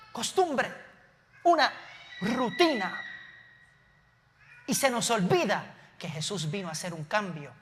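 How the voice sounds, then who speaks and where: A Puerto Rican pastor with a Puerto Rican Spanish accent preaches from a church stage, mixing earnest points with casual asides and quick self-corrections. His voice sits high and sounds bright and forceful. A long hall reverb trails behind his words. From Puerto Rico. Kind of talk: sermon.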